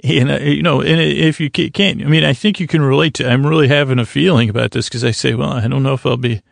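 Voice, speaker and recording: Guy doing a sleepy-time podcast monologue, creaky voice, dry close sound, stumbles and wanders around, kinda whimsical. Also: mid-range voice, steady tone, dry recording. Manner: meandering, halting, stammering, trailing off mid-phrase; whimsical, mock-earnest. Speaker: podcast host. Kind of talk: rambling, improvised bedtime monologue to help listeners sleep.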